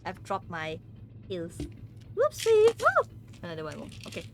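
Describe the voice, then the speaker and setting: A woman's voice: fairly low pitch, wildly swooping pitch, measured pace, background noise. A woman from Malaysia, chatting casually with viewers on a game stream. She giggles often and stumbles over words.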